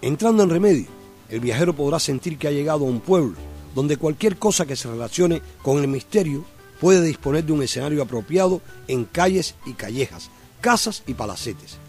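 A man hosting a radio program, reading scripted narration in a measured, poetic way. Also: fairly high pitch, lively intonation. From Cuba. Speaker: radio host. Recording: reverberant room with soft music.

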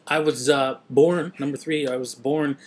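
A man's voice: medium pitch, steady tone, slightly rough. Rambling man on a webcam vlog. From Trinidad, raised in Canada. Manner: rambling, many filler pauses. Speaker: vlogger. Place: small home office. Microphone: webcam at desk.

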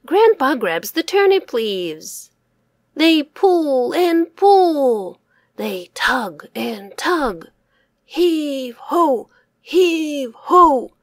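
A woman reading a children's folk tale aloud in slow, expressive, sing-song storytelling. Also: high-pitched, dry recording, studio quality.

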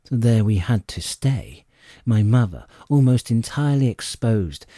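A man narrating an adventure audiobook in an expressive, measured storytelling manner. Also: medium pitch, no echo, clean studio sound. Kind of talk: dramatic scripted storybook narration.

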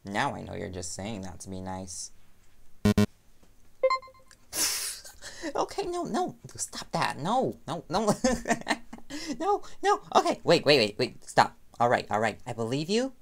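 A man's voice: medium pitch, wildly swooping pitch, slow, vocal fry, long pauses, exaggerated voice. A man playing a young, playful, self-deprecating reporter boyfriend in an intimate roleplay audio drama, with a flustered, affectionate way of talking. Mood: giggly, protesting.

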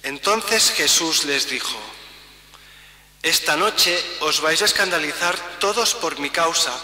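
Slow, bright-voiced priest in a reverberant church. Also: male voice, a little high. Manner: measured, solemn, pausing between phrases while signing. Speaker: priest reading the Passion. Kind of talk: slow liturgical Gospel reading. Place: church sanctuary, large indoor space. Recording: roomy church reverb, mic at a distance.

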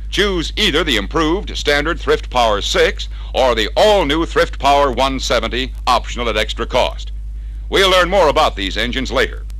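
Male narrator, American, 1960s dealer training film read. Lively intonation, bright tone, persuasive salesman punch, vintage record sound. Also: mid-range voice, rough recording. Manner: punchy, persuasive salesman delivery with rhetorical questions.

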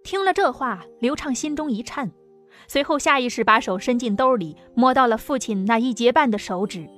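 A woman reads a dramatic audiobook story in a fairly high voice. Soft music plays underneath her scripted narration. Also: expressive, normal speed.

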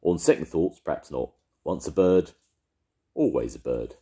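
A man's voice: rumbling low, expressive, moderate pace, warm tone. A man voicing a bossy, self-confident male caterpillar in a storybook, declaring things pompously. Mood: considering.

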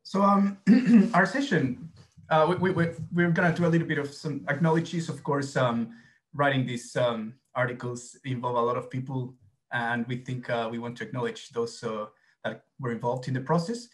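A man's voice: medium pitch, fairly even intonation, moderate pace. A man in his thirties from Argentina with an Argentine Spanish accent, giving a conference presentation over a video call with many fillers and restarts. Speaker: academic presenter.

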